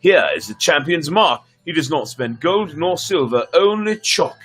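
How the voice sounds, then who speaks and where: A man's voice: fairly high pitch, lively intonation, measured pace, a bit bright, breathy edge, faint music behind, heavily acted. A man voicing an earnest, deferential heroic knight in a folk tale, speaking in formal, respectful address.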